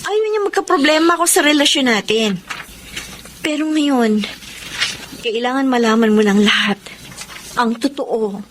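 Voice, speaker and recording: A dutiful young Filipino wife in a radio drama. Her voice is fairly high, with an earnest, gentle manner. Faint noise sits behind her. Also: measured pace, pauses often. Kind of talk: Tagalog radio drama acting.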